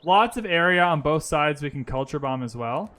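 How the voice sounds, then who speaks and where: Man, gaming streamer, bright tense voice, slightly high, chatty, talks moves through aloud. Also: expressive, moderate pace. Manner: chatty, thinks aloud, chats with viewers. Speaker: streamer playing a strategy game. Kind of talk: casual gaming commentary. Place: lives in Vancouver.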